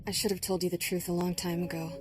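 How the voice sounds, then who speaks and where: Woman as young jedi apprentice in a game, lowish voice, blunt n wry, guarded, music behind. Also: little pitch movement, fast, natural voice. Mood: wry confession. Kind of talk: dramatic video game acting.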